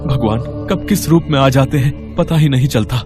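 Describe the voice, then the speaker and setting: A man playing a remorseful, devout festival-goer in an animated devotional story. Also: mid-range voice, normal speed, music in the background, close to an everyday voice.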